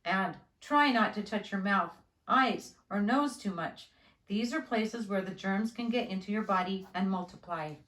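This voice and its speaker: A woman voicing a calm, reassuring father who patiently explains things to his child in a storybook. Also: mid-range voice, unhurried, close to an everyday voice. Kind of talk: children's storybook read-aloud. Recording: roomy, echoing indoor sound.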